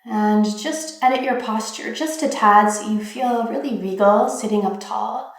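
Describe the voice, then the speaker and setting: A woman leading a guided meditation, giving gentle, soothing instructions in an unhurried way with pauses. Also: fairly high pitch. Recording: very echoey, reverberant room.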